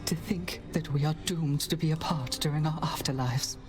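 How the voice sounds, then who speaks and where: An actress playing a gentle, melancholy, resigned shade parted from a spouse in a video game, wistful and formal. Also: female voice, deep voice, normal speed, music in the background, close to an everyday voice. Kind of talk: video game character acting.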